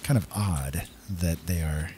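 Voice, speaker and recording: A man's voice: low voice, slow pace, noisy background. A man giving casual, easygoing gameplay commentary, musing and talking to himself. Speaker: gameplay host. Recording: loud game music behind. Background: game music and sounds.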